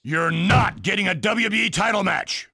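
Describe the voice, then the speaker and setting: Wrestling game boss: older, imperious, angry, high gritty voice with a dry close sound. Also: male voice, normal speed, pushed and bright, no echo, faint music behind, exaggerated voice. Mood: angry, contemptuous. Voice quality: gravelly, hoarse. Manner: belligerent, imperious. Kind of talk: video game cutscene acting.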